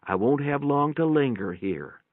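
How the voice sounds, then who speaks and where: A man's voice: mid-range voice, expressive, a little slow, no room sound, voice pushed up. A man voicing an elderly country mother in a story, resigned and resolute, with old-time phrasing, on a tinny recording. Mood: resigned, reassuring. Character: frail but resolute elderly mother ready to die. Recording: tinny phone-like sound.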